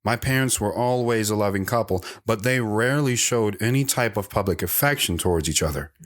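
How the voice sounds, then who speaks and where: Low-voiced actor as reflective storyteller Travis. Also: male voice, fairly quick, natural voice. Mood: fond, nostalgic. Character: Travis, wandering man telling his life story, reflective. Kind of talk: first-person storytelling in an audio drama.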